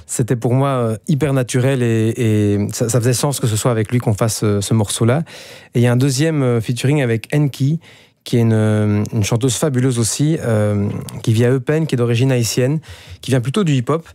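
A man's voice: mid-range voice, brisk, mellow, no echo, studio quality. A man from Belgium with a Belgian French accent, a musician guest in a radio interview, talking with many fillers and restarts.

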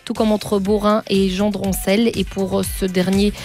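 A woman's voice: mid-range voice, flat delivery, a little slow. A woman with a Belgian French accent presenting a radio traffic bulletin. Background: soft bulletin music.

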